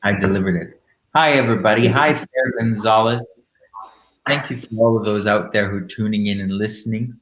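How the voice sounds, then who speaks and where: A man's voice: lowish voice, a bit bright, slight background noise. Theatrical man in an echoey room. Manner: theatrical, camp, deliberate phrasing. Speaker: host of a live online show. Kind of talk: casual live chat with dramatic book readings. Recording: roomy, echoing live-stream sound.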